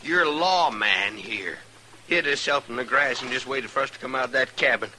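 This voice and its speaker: An American actor playing a bitter, defiant captured outlaw with taunting, rustic speech in an old-time radio western. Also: male voice, mid-range voice, normal speed, pushed and bright. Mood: bitter, accusing. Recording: vintage radio recording with faint noise.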